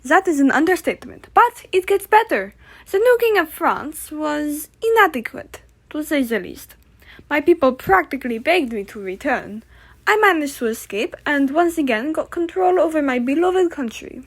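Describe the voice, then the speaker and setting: A woman's voice: sits high, big pitch swings, moderate pace. A woman playing a boastful, touchy Napoleon as a pompous guest in a comedic puppet interview. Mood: aggrieved. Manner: pompous, indignant, sarcastic.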